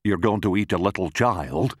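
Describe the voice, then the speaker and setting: A man's voice: deep voice, moderate pace, no echo, clean studio sound. A man voicing a horrified, blustering, scolding jungle animal in a children's storybook, exclaiming and drawing out insults. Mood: appalled, scolding.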